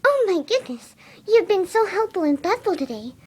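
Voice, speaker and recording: A very high, soft and sweet cartoon pony. She is timid and gentle, cooing in a motherly way like a doting caretaker in a fan-made story reading. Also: big pitch swings, normal speed. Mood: surprised, delighted.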